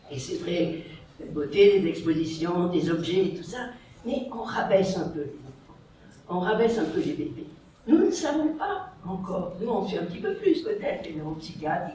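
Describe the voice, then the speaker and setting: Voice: mid-range voice, mellow. An audience member making an emphatic comment from the floor, with rhetorical asides. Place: large echoey library hall. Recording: echoey hall from far off, faint noise.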